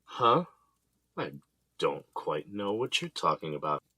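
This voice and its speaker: An actor as an easygoing husband and father in an audio drama, with a low, rough-edged voice that has a forward, bright push. He is teasing, affectionate and coaxing. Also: very animated, takes it slowly, no echo, full character voice. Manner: playful, coaxing. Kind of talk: naturalistic audio drama acting.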